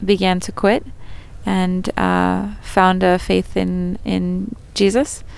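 A woman chatting casually and speaking softly, with halting phrasing. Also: lowish voice, little pitch movement, creaky, clear, resonant tone. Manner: soft-spoken, halting, with frequent laughs. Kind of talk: casual chat.

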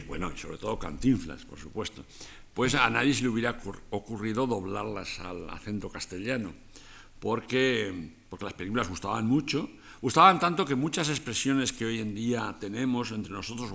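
Older guy lecturing on film, low gravelly voice, rambles and restarts a lot. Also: a bit bright. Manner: digressive, frequent restarts and rhetorical tags. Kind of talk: conversational lecture.

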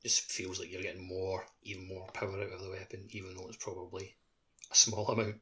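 A man's voice: sits low, level tone, a little fast, bright, forceful tone, breathy edge. A man co-hosting a podcast in casual conversation. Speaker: podcast co-host. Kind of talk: casual chat.

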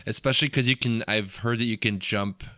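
A man's voice: mid-range voice, fairly even intonation, fairly quick, pushed and bright, no room sound, clean studio sound. A man in his late twenties chatting casually in an easygoing, informal way. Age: late 20s. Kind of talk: casual chat.

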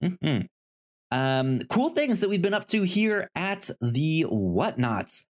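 A man's voice: fairly high pitch, big pitch swings, slow pace. A man hosting a podcast in a casual, chatty style, with a tendency to stammer and repeat syllables. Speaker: podcast host. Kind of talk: casual podcast chat about movies and show news.

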